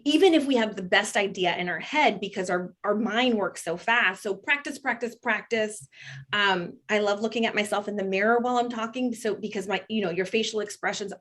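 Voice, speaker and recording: A woman's voice: medium pitch, a bit bright. Brief: cheerful woman panel moderator on a video call, encouraging, conversational. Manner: upbeat, encouraging, chatty. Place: home, joined by video call.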